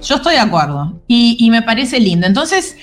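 A woman's voice: a little high, very animated, moderate pace, soft background music. A woman teaching an English class on the radio, explaining patiently and often checking in with a questioning "¿no?".

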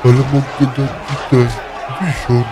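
A man's voice: mid-range voice, flat delivery, a little slow, loud music behind the voice, lightly acted. A man playing a broke, restless gambler in a fantasy audio drama, impatient and pleading. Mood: restless, eager. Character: broke, restless male tavern gambler, eager to play.

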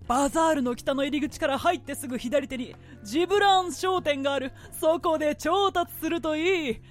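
A woman's voice: sits high, fairly quick, lightly acted. A woman playing a pompous, shrewd great merchant, male, with curt commanding delivery in a theatrical radio drama. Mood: haughty. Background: music.

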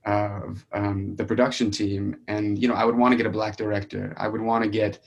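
A man's voice: lowish voice, level tone, fairly quick, vocal fry, dull audio. A young man who is a playwright talks casually, hesitantly searching for his words. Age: young adult. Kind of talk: casual discussion.

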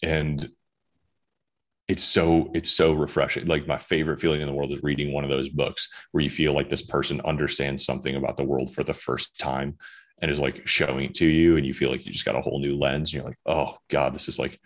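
A man's voice: rumbling low, little pitch movement, fairly quick. A young man talking casually as a podcast guest, rambling with many fillers and restarts. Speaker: guest author.

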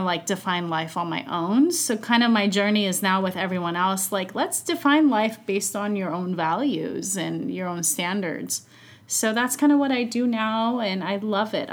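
A woman in her thirties, a coach born in Iran, chatting casually and upbeat as a podcast guest. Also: mid-range voice. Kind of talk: casual interview chat.

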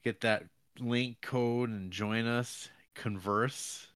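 A man's voice: mid-range voice, fairly even intonation, slow, a bit bright, smooth. A man co-hosting a podcast in casual chat, with a dry, jokey, mock-formal way of talking. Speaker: podcast co-host.

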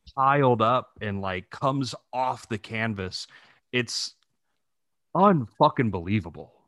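A man's voice: mid-range voice, animated, slow pace, fairly bright tone, no room sound, studio quality. A man co-hosting a podcast in casual chat, talking emphatically and swearing freely. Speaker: podcast co-host.

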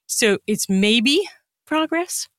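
A woman's voice: a little high, expressive, drawn-out words, fairly bright tone, no room sound, studio quality. A woman chatting casually and emphatically as a guest in a podcast interview.

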